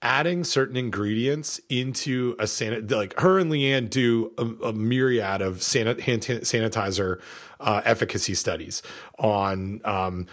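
A middle-aged man co-hosting a podcast, chatting casually with many fillers, pauses and restarts. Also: fairly low pitch, a bit bright. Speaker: podcast co-host.

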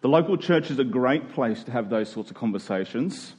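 An Australian man preaching a sermon as a church pastor, earnest and emphatic with deliberate phrasing. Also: mid-range voice, lively intonation, darkish tone.

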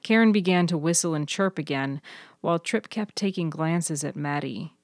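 Low-voiced woman narrating fiction. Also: moderate pace, dry recording, studio quality. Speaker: author and narrator.

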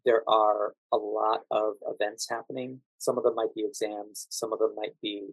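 An American man answering interview questions as a guest, explaining things in a measured, thoughtful conversational way. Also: lowish voice, little pitch movement, slightly rough, no room sound, rough recording. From United States. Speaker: podcast guest, actor working as a standardized patient.

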